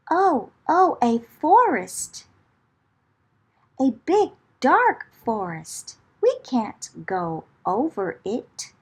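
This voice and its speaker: A woman reading a picture book aloud to children in a dramatic, theatrical way, with rhythmic chanting phrases. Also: high voice. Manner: dramatic, theatrical, with whispers and exclamations. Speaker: children's storyteller.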